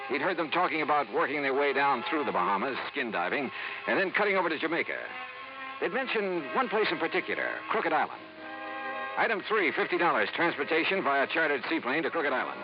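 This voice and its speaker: Brisk, wry radio detective, quick-talking American man. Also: on the high side, very animated. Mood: brisk narrating. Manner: crisp, wry, clipped. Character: brisk, wry freelance male insurance investigator. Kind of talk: 1950s American radio detective drama acting. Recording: dull vintage radio recording, loud music behind.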